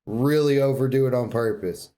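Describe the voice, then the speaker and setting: A man with a mid-pitched voice, talking as a podcast co-host. His speech is laid-back and full of slang, like easy chat about games between regulars. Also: normal speed, warm tone. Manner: laid-back slangy talk, says dude often.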